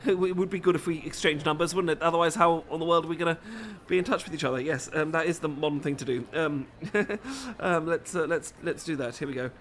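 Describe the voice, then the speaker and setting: Man acting a stammering, bashful British bloke, high-ish voice. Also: fast talker, hardly any pauses, a bit bright, a little hiss or hum, lightly acted. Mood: excited, flustered. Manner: stammering, rambling, apologetic, self-deprecating. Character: flustered, adorkable, bashful British man on a meet-cute. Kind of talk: intimate ASMR roleplay acting, addressed to listener.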